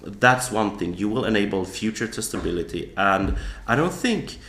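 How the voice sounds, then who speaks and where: A man explaining software testing as a guest in a podcast interview, thoughtful, often restarting his sentences. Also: fairly low pitch, animated, normal speed, echoey room, a little hiss or hum. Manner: thoughtful, with frequent restarts and fillers. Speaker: guest author.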